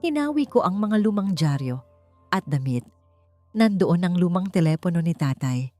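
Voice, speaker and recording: A woman narrating a dramatic first-person audiobook story in an emotive, deliberate manner. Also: lowish voice, big pitch swings, a little mellow, faint music behind.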